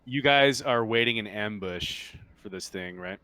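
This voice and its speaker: Low, bright-voiced male game master. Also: a little slow. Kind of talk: casual tabletop roleplaying game talk, refereeing.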